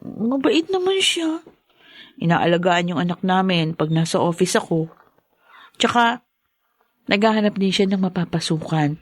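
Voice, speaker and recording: An actress playing a patient, hopeful young working mother in a Filipino radio drama, gentle and pleading. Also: on the low side, animated, measured pace, pauses often, no room sound. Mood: patient, defensive. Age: young adult.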